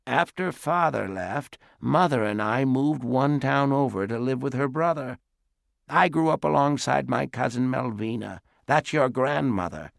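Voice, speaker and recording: A man doing a frail, gravelly old great-aunt. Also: medium pitch, no room sound, clean studio sound, voice pushed up. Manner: soft, slow and reminiscing. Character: frail elderly great-aunt, wistful and sad. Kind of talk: audiobook character voice.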